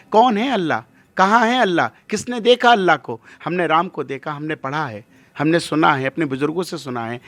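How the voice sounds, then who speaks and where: High-pitched, emphatic male religious leader. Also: a bit bright, no echo. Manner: emphatic, rhetorical questions and repetition. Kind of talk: impassioned interview monologue.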